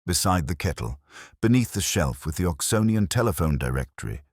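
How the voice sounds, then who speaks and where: A man voicing a pensive, wry police inspector who narrates a mystery story in a measured, literary, deliberate way. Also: deep voice, sing-song, dry recording, studio quality. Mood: wry, observant. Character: pensive, wry older English male police inspector narrating his case. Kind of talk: scripted detective story narration.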